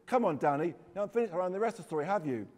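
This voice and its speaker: A man's voice: high-pitched, lively intonation, racing, a little mellow, pitched above the performer's own voice. A man reads as a mischievous storybook boy egging on his pal. He sounds knowing and prompting, his voice washed in hall reverb. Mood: urging. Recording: echoey hall, long reverb.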